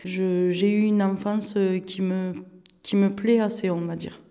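This is a woman in her thirties, originally from Turkey, whose first language is Turkish. She speaks slowly with a mellow, rounded tone in the middle pitch range. Her talk is halting, full of fillers and restarts. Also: fairly even intonation. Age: 30s. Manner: hesitant, many fillers and restarts. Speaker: interviewee. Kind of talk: casual recollection in an interview.

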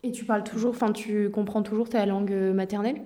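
A woman asking informal interview questions, gentle and hesitant with fillers. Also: medium pitch, steady tone, fast talker.

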